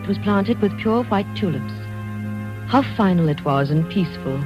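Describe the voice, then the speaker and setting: An actress playing a genteel, soothing, gently probing family friend in an old-time radio drama, speaking earnestly and coaxingly. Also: female voice, medium pitch, expressive, moderate pace, lightly acted. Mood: troubled reflection. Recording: dull old radio recording, faint music.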